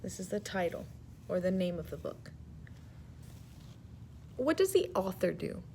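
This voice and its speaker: Animated woman teacher reading aloud. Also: fairly low pitch, unhurried, slight background noise. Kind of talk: children's read-aloud with questions to pupils.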